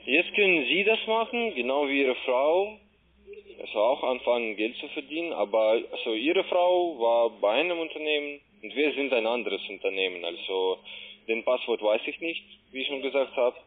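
A man gives a phone sales pitch in non-native German with grammatical slips. He is halting and often repeats himself. His medium-pitched voice comes through a muffled, narrowband telephone line. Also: animated. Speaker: call centre agent pitching online trading.

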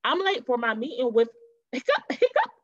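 Lady doing earnest excitable little boy from a kids book, squeaky high, flustered, muffled webcam audio. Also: normal speed, no echo. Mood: flustered, pleading. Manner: pleading, excitable, exclamatory. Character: earnest, flustered young schoolboy actor prone to hiccups. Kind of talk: children's storybook character voice.

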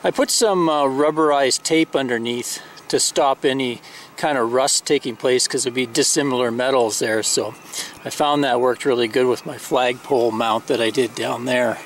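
A man's voice: medium pitch, steady tone, normal speed, a little hiss or hum. A middle-aged man talking casually while showing and installing gear in and on his RV, explaining each step with plenty of fillers and restarts. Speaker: RV owner reviewing gear. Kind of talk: casual unboxing and install walkthrough. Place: inside an RV, later up on its roof outdoors.

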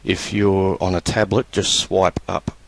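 A man presenting a screen-recorded computer tutorial, talking in a relaxed, halting way with many fillers. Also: low-pitched, gravelly, no echo, poor audio.

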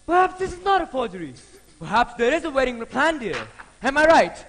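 A man playing a pompous, bewildered gentleman who exclaims in puzzlement. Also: extremely high pitch, very animated, brisk, full character voice, rough recording.

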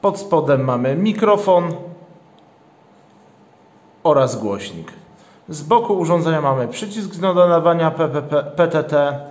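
Man, unboxing presenter, very slow delivery, matter-of-fact, halting phrasing, echoey indoor recording. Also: fairly high pitch. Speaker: product reviewer, channel host. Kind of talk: unboxing presentation, step-by-step product description. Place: indoors, tabletop product shoot. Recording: roomy indoor echo.

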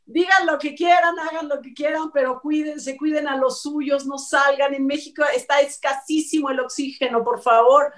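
A woman's voice: sits high, steady tone, normal speed, few pauses, fairly bright tone. A middle-aged woman with a Mexican accent giving emphatic, colloquial opinions as a panelist on a video call. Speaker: talk show panelist. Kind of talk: casual opinionated panel chat. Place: bright living room at home. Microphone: computer mic on video call. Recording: distant mic on video call.

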